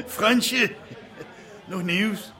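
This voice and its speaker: A man's voice: high-pitched, very slow, bright, forceful tone, a faint crowd, heavily acted. An actor in a radio drama playing an easygoing, teasing policeman with an informal, wry way of talking. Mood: cajoling. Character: wry, easygoing policeman who drinks on duty.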